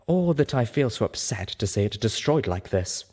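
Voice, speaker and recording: A man's voice: mid-range voice, sing-song, moderate pace, darkish tone. A man voicing a refined, haughty and sensitive female unicorn singer, prim and dramatic, in a story reading. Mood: wistful.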